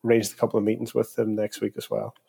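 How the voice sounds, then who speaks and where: A man's voice: lowish voice, completely flat delivery, quick pace, creaky, warm tone, slightly rough. A man with a Northern Irish accent chatting casually and telling stories in a joking, self-deprecating way. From Derry, north of Ireland. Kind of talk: casual conversational storytelling.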